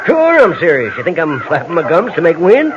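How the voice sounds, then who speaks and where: An actor playing a blunt, cocky rainmaking drifter, drawling in a folksy rural American accent in an old radio western. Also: male voice, fairly high pitch, huge pitch swings, a little fast, noisy background. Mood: cocksure. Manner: drawling, folksy, plain-spoken. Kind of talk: 1950s radio western acting. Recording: dull, lo-fi old radio recording.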